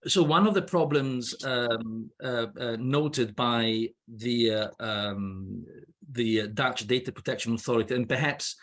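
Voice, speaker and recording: A man's voice: medium pitch, a bit bright. A man in his fifties with a Swiss accent, not a native English speaker, speaking as a guest lawyer on a video call, hesitant with many fillers and restarts. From Switzerland. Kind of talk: panel discussion on a video call. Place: indoor room with wooden ceiling. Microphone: laptop webcam on a video call.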